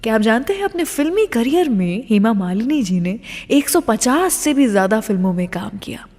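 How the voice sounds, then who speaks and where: Lady radio presenter, kinda high voice, big reverb, talks right to listeners, english bits mixed in. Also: sing-song, normal speed, a little mellow. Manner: addresses listeners directly, teasing what comes next; mixes English phrases into speech. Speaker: radio show host. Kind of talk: scripted radio show presenting. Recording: long reverb on the voice.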